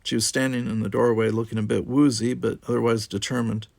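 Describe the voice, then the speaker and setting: A man narrates a novel for an audiobook. His medium-pitched voice is gravelly and husky, recorded dry and close. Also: flat delivery, quick pace, darkish tone, no room sound. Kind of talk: scripted fiction narration.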